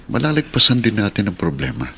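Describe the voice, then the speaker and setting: A man's voice: fairly low pitch, very animated, fast talker, vocal fry, sharp tone, slight background noise, exaggerated voice. A man acting a patient, kindly middle-aged uncle and guardian in a Filipino radio drama, speaking earnestly. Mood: gentle, reassuring. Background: background music.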